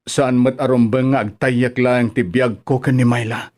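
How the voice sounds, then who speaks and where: A man with an Ilocano Filipino accent playing a gentle, sorrowful single father in an audio drama. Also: mid-range voice, little pitch movement, moderate pace, close to an everyday voice. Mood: sad, tender. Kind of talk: amateur radio-style drama acting.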